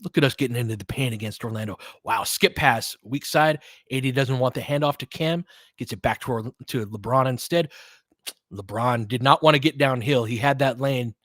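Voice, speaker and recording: A man's voice: mid-range voice, moderate pace, a bit bright, dry recording. Casual male basketball play-by-play, dry close sound. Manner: clipped phrases, conversational fan-like asides. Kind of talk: casual live game commentary.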